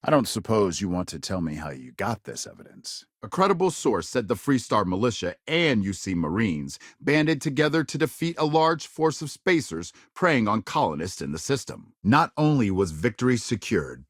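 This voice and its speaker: Animated, emphatic sci-fi news anchor. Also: male voice, medium pitch, dry recording, clean studio sound. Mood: matter-of-fact. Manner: measured, emphatic, dramatic newsreader phrasing. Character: polished male news anchor of a spacefaring future. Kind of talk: scripted broadcast news delivery.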